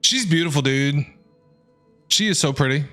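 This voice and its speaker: A man streaming a video game, giving casual, enthusiastic and expressive commentary. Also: on the high side, normal speed. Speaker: gaming streamer. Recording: music behind the voice.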